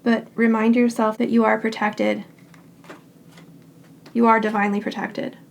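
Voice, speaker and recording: A woman giving a tarot reading in short, unhurried phrases. Her voice is raspy and hoarse because she is getting over a cold. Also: fairly high pitch. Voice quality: raspy, scratchy throat. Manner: calm and reassuring, speaks in short unhurried phrases. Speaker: tarot card reader. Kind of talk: pick-a-card tarot reading.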